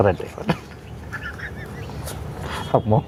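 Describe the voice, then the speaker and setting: A man's voice: rapid-fire, dull audio, background noise. A man hosting a playful, casual interview, chatty and deferential toward his guest. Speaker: interviewer, host. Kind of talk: playful casual celebrity chit-chat.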